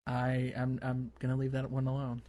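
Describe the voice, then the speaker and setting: A man hosting a casual gaming podcast, conversational and a little halting as he thinks aloud. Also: medium pitch, monotone, dark tone. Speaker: podcast host. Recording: faint background noise.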